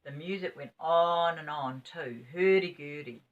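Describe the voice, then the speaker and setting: An older woman with a fairly low voice reads a children's story aloud. She is an expressive storyteller, slipping in small asides as she goes. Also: unhurried, distant mic, highs cut off. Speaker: grandmother storyteller.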